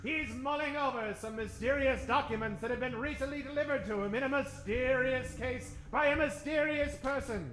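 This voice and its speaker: Bright, high-pitched grandiose male radio announcer. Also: moderate pace, few pauses, reverberant, soft background music. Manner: grandiose showman delivery. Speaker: host and announcer of a live radio-theater show. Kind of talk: theatrical old-time radio announcing. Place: live stage before an audience.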